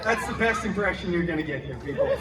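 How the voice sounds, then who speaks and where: A man's voice: high-pitched, animated, fast talker, far from the mic, heavy background noise. Guy doing a cheeky theatrical superhero telling stories, swoops all over, echoey pa with crowd and music. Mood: mock dramatic. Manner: sarcastic asides, exaggerated delivery. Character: wisecracking costumed superhero storyteller, brash, theatrical, hammy. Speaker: theme park character performer telling stories to guests. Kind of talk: live comedic storytelling to a crowd. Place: outdoor theme park show area.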